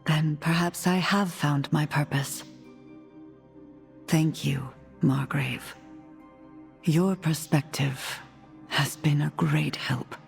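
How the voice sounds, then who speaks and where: Game heroine: earnest undead noblewoman leader, breathy, unhurried, reverberant with music. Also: deep voice, steady tone, exaggerated voice. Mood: relieved, grateful. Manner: measured, formal, earnest. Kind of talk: video game cutscene acting. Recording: long reverb, music behind.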